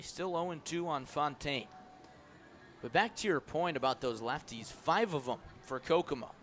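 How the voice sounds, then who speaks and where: A man working as a radio play-by-play announcer, calling live baseball in crisp, clipped phrases. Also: mid-range voice, a bit bright.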